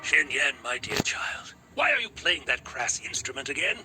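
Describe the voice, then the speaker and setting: A man's voice: mid-range voice, sing-song, normal speed, pushed and bright, faint music behind. An actor playing a prim, snobbish townsman who disapproves. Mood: disapproving.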